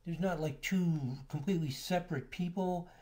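A man giving a relaxed, explanatory lecture over slides, now and then pausing on filler words. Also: fairly high pitch. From United States. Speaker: astrology lecturer.

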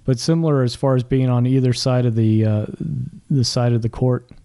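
A man's voice: mid-range voice, steady tone, normal speed, warm tone, smooth. A man hosting a podcast interview who asks friendly, rambling questions. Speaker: podcast host. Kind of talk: casual interview conversation.

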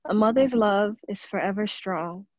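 A woman's voice: mid-range voice, moderate pace, no echo, lo-fi sound. A woman reciting a devotional poem as a congregation member over an online call.

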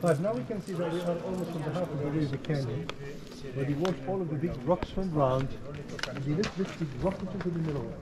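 A man's voice: a little high, fast talker. Man guiding tourists outdoors. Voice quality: non-native English, simple phrasing. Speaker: tour guide. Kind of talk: guided tour explanation. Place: outdoor desert canyon with tourists. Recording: busy crowd around outdoors.

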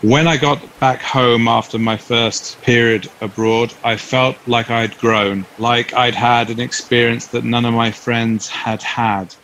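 A man born in the UK, reflecting calmly in a documentary interview. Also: medium pitch, unhurried, hardly any pauses, slight background noise, rough recording. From United Kingdom.

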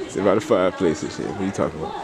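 A man's voice: low voice, very fast, a crowd in the background. A man in his late teens chatting and joking in slang as he hosts casual street interviews in a mall. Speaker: vlog host and street interviewer. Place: shopping mall and stores.

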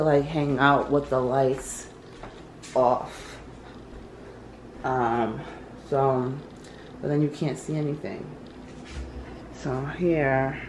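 A young woman chatting casually as a vlogger in her apartment, rambling and correcting herself as she goes. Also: very deep voice. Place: apartment kitchen and living room. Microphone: camera mic from a few feet away. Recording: echoey room, faint room noise.

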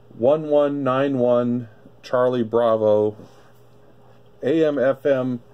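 A man casually demonstrating a product unscripted, thinking aloud in a halting way. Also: mid-range voice, darkish tone, muffled recording. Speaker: gadget reviewer. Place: indoor electronics workbench.

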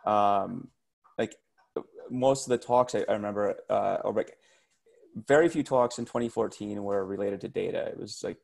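A man's voice: fairly low pitch, normal speed, gravelly, darkish tone. A man in casual video call discussion, rambling with many fillers. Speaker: meetup participant.

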